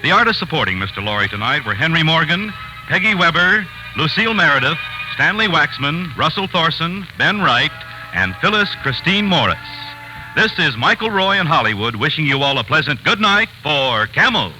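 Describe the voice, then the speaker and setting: American man, 1940s radio announcer reading scripted copy, slightly high voice, music underneath. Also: very animated, moderate pace, rough recording. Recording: vintage 1940s radio broadcast.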